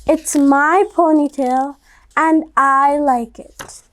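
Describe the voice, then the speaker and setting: A woman's voice: high-pitched, fairly even intonation, takes it slowly, a bit bright. A woman voicing a stubborn, defiant young schoolgirl in a children's storybook, with an emphatic delivery. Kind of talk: children's picture book read-aloud.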